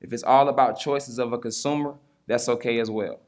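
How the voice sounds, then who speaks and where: A man's voice: mid-range voice, fairly even intonation, a little fast, darkish tone. A man delivering a formal prepared speech to close a conference talk. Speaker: conference speaker.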